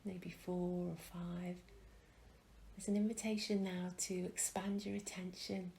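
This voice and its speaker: A woman leading a guided mindfulness meditation, speaking calmly and gently with long pauses and soft, breathy phrase endings. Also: on the low side. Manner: calm, gentle, unhurried with pauses. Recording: faint background noise.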